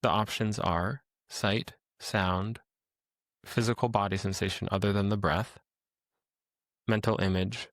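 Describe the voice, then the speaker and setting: A man leading a guided meditation as a teacher, speaking in a calm, gentle, instructional way with pauses. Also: on the low side, no room sound.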